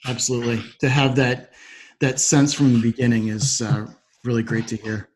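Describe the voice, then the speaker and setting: A man hosts a podcast interview in a medium-pitched voice. His phrasing is polite and measured, with the structured delivery of someone guiding a guest through questions. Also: steady tone, darkish tone, slight background noise, low-quality recording. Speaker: podcast host.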